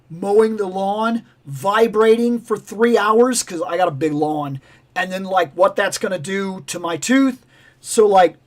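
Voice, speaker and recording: Casting: high-pitched male livestream host, raspy and hoarse, rambling and animated. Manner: rambling, animated, self-interrupting. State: raspy, losing his voice. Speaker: toy channel livestream host. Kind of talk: casual livestream chat.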